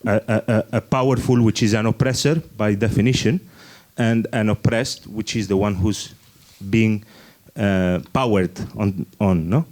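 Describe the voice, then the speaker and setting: A man with a fairly low voice speaks in a group discussion. His delivery is halting, with frequent restarts and fillers as he works his way toward his point. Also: fairly even intonation, darkish tone. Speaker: festival workshop participant. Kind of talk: spontaneous discussion remarks.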